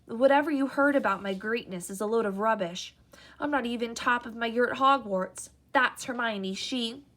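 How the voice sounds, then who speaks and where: Brief: woman reading as nervous, polite young boy, a bit higher than her own voice, awkward. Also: normal speed, a little hiss or hum, lightly acted. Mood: modest. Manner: nervous, polite, hushed at times.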